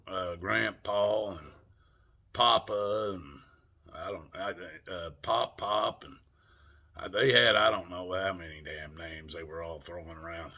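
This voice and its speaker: A man's voice: deep voice, gravelly, pushed and bright. An older man with a Southern US accent, rambling in a folksy, salty way as he talks to his camera for a vlog. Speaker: vlogger. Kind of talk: casual monologue to camera.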